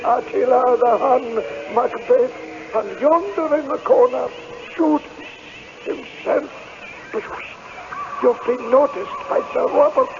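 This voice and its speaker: Eerie, high-voiced ghostly guide. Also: male voice, slow pace, heavily acted. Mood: ominous. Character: eerie ghostly guide among the damned dead. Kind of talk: old-time radio drama acting. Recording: noisy, dull vintage radio broadcast.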